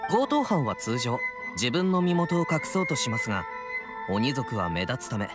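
An actor playing an earnest young samurai guard in an anime game, reporting in a polite official tone. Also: male voice, medium pitch, big pitch swings, moderate pace, loud music behind the voice, natural voice. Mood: earnest, aggrieved.

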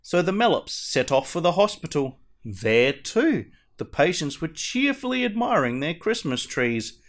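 A man acts out a masked superhero at storytime, reading a picture book to children. The voice sits slightly high and swings widely in pitch. The delivery is theatrical and deliberate, drawing out the ends of phrases. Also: sing-song. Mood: animated storytelling. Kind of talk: animated picture-book read-aloud.

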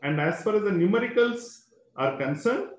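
A man's voice: sits high, lively intonation, moderate pace, roomy sound. A man, a school educator explaining exams in an online webinar, talking into a computer microphone with many fillers and a habitual "right?".

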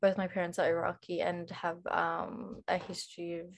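A woman, introducing herself on an online panel in an unhurried way, with many pauses, over a video call from a room at home. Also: fairly low pitch, little pitch movement, gravelly, a little hiss or hum. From Australia. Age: 20s. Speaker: panel speaker, writer and actress.

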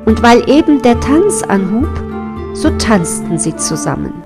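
A woman's voice: fairly high pitch, sing-song, a little slow. A woman storyteller reading a scripted fairy tale narration. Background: music.

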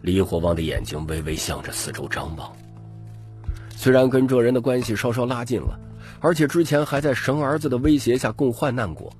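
A man's voice: medium pitch, animated, brisk, a little mellow. A man narrating an audiobook in a scripted, dramatic storytelling style. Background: music.

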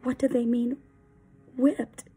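A woman voicing a frightened, earnest young boy in a told story, crying out in a high voice. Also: level tone, slow, mellow, breathy edge, soft background music, exaggerated voice. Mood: alarmed. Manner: high, pleading cries.

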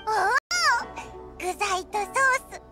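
A woman voicing a tiny, cheerful childlike fairy companion in an anime game, squeaky and boastful, with boyish casual speech. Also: fairly even intonation, slow pace. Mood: eager, boastful. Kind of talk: anime game character acting. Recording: game music behind the voice.

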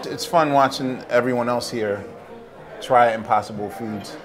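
A man hosting the event, talking in a relaxed, self-mocking way. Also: medium pitch, unhurried, crowd noise. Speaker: host. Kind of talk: documentary interview.

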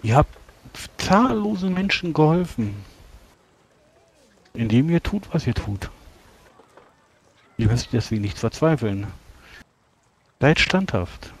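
A man playing a solemn, measured priest in a tabletop game, speaking formally and archaically as he counsels a comrade. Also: a little high, big pitch swings, slight background noise, heavily acted. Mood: earnest, exhorting. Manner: formal archaic speech, preachy, deliberate. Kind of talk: tabletop roleplay in character.

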